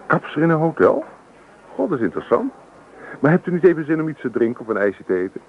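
Tinny recording, eager bashful young suitor. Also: male voice, on the high side, very animated, quick pace. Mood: eager, hesitant. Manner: hesitant, eager, polite. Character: eager, bashful young male holidaymaker courting a girl. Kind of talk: Dutch radio drama acting. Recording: tinny, noisy old radio recording.

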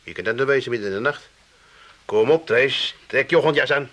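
An actor playing an exasperated, complaining husband in a comic radio play. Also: male voice, medium pitch, a little fast, fairly bright tone, close to an everyday voice. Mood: grumbling. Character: impatient, exasperated Amsterdam husband and grown son.

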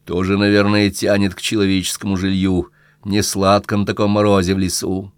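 A man reads a literary audiobook in a fairly low voice. The recording is dry, with very little room sound. He delivers scripted prose as a narrator telling a story. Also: level tone, fairly quick, clear, resonant tone, no room sound.

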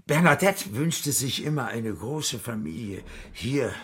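An actor playing a frail, earnest older widower in a radio play, husky and creaky, speaking in a halting, formal, grave way. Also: male voice, mid-range voice, expressive, a bit bright. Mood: bitter, wistful. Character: frail, earnest, awkward old widower with cancer.

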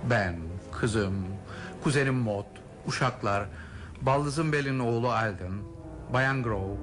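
A man's voice: mid-range voice, slow, natural voice. A man in a radio drama playing a courteous, worried older male aristocrat, with formal and hesitant speech. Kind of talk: 1970s radio drama acting. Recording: radio drama with music behind.

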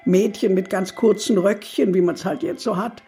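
A woman playing a gossipy, lonely, unsettled neighbour in a German radio crime drama, chatty and creaky-voiced. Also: mid-range voice, moderate pace, dark tone, faint music behind, lightly acted. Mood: gossipy, wistful. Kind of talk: German radio play acting.

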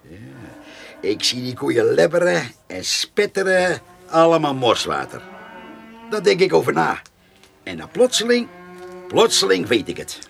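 An actor playing a cheeky, proud farmhand inventor in a radio play, colloquial with mock-grand salesmanship. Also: male voice, fairly high pitch, big pitch swings, unhurried, creaky, long pauses, a bit bright, soft background music, full character voice. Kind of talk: comic radio play dialogue.

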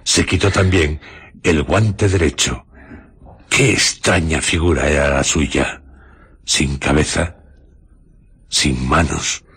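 Deep-voiced male radio drama narrator. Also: a bit bright, breathy edge. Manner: measured, literary.

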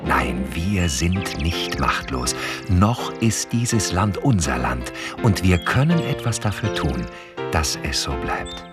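An actor in a radio drama playing a nationalist campaign speaker, addressing listeners in a solemn, persuasive, rhetorical way. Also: male voice, low-pitched, big pitch swings, slow pace, full character voice. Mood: solemn appeal. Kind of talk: political advert in a radio drama. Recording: loud music behind the voice.

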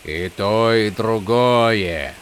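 A man's voice: on the low side, extremely slow, clear, resonant tone. A man voicing an ancient, imperious storm god in a fantasy audiobook, making solemn, fateful pronouncements.

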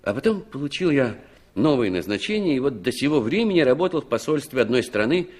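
An actor playing a middle-aged former war commander who recalls his survival with unhurried, expressive pauses, in a 1960s radio drama style. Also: medium pitch. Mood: matter-of-fact, reflective.

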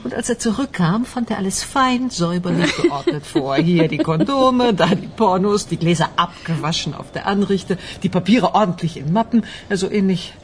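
A woman's voice: medium pitch, animated, normal speed, hardly any pauses, crowd noise, lightly acted. A woman playing a talkative, defensive middle-aged office worker in a radio crime drama, mocking and easily indignant. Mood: amused gossip. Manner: chatty, mocking, indignant when pressed. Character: talkative, defensive female office worker under police suspicion.

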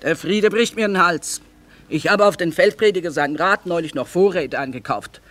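A woman's voice: on the low side, normal speed, lightly acted. A woman playing a shrewd, blunt middle-aged trader and mother in a radio drama, with plain folksy, businesslike speech. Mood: rueful. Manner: sharp-tongued, businesslike, plain folksy phrasing. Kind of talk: theatrical radio drama acting.

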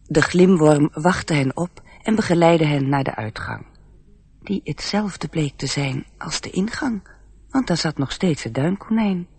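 A man with a husky, gravelly voice reading scripted narration for a radio play. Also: fairly high pitch, measured pace, a little mellow.

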